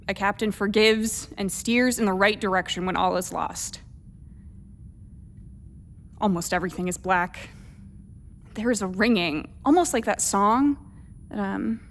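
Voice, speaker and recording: Starship captain, female, radio play. Reflective and caring, unhurried, mid-pitched, music underneath. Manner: halting, deliberate inner monologue and firm orders. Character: brooding woman starship captain, reflective, protective of crew.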